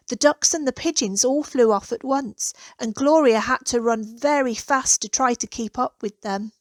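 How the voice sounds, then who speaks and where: High-voiced woman reading a children's story. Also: dry recording.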